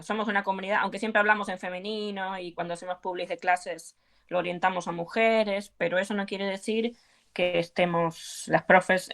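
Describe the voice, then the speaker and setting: Argentine woman, dance teacher being interviewed, kinda low voice, earnest and encouraging. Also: creaky, fairly bright tone. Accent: Argentine Spanish. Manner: earnest, encouraging, with frequent fillers. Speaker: belly dance teacher, guest. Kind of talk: conversational interview.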